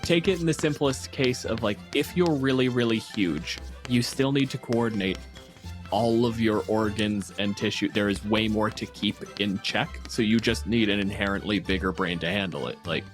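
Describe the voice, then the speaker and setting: A man's voice: fairly low pitch, moderate pace, faint music behind. A man hosting a casual panel discussion, talking in an explanatory, analytical way. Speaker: podcast host.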